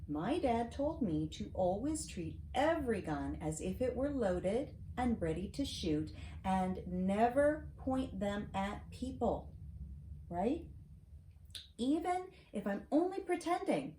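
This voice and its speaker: An American woman in middle age reads aloud to a young child in an expressive, teacherly way, often checking in with questions. Her voice is mellow and relaxed. The recording has a roomy echo and noticeable background noise. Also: mid-range voice, slow pace. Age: middle-aged. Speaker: host reading to her granddaughter. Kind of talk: storybook read-aloud with casual asides to a child. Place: home library, seated at a desk.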